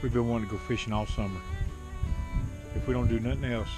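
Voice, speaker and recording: A man's voice: medium pitch, steady tone, fast talker, loud music behind the voice. A middle-aged American man giving casual vlog commentary outdoors, recorded on a handheld action camera. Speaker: homesteading vlog host. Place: outdoors on a homestead and at a lakeshore. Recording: outdoor camera audio with background noise.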